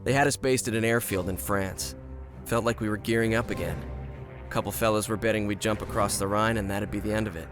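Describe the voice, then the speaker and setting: A man's voice: lowish voice, steady tone, fairly quick, over music, natural voice. An actor playing a wry, plainspoken American paratrooper who narrates his war in a reflective, matter-of-fact way. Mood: sombre, reflective. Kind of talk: scripted first-person war narration.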